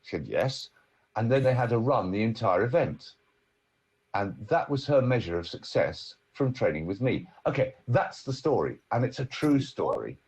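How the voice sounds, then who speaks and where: Man, podcast guest, husky, lively intonation, emphatic storytelling. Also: medium pitch, moderate pace. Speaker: guest speaker coach.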